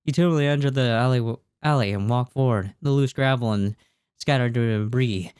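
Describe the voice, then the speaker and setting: A man's voice: medium pitch, darkish tone, no room sound. A man in his twenties doing a let's play, reading game text aloud and reacting casually, sometimes stumbling over words, close on a desk mic. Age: 20s. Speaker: video game streamer. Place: small room indoors at a gaming setup. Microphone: close desk microphone, headset worn. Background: game music.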